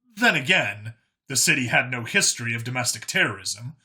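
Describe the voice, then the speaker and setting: A man's voice: mid-range voice, big pitch swings, normal speed, pushed and bright. A man reading a scripted story as an audiobook narrator.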